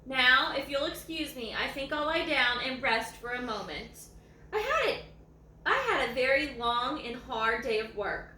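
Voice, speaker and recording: A woman's voice: high voice, very animated, a little slow, bright, forceful tone, roomy sound, recorded from a distance, a little hiss or hum, full character voice, rough recording. A woman voicing a lazy, self-important old male ranch hound dog from a storybook, in a folksy, self-satisfied way. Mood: weary, content.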